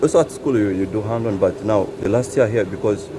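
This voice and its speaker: Man, from Congo, very deep voice, halting restarts, mechanic, workshop noise behind. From Congo, grew up in Uganda. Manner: halting, many restarts and "you know". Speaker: bus mechanic. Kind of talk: casual interview answers. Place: large vehicle workshop.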